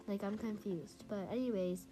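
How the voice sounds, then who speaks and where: A young woman with a congested, stuffy voice, casually commenting on her gameplay, chatty and excitable with many fillers. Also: medium pitch, normal speed, a little hiss or hum, low-quality recording. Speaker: gaming channel host. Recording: faint music behind. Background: faint game music.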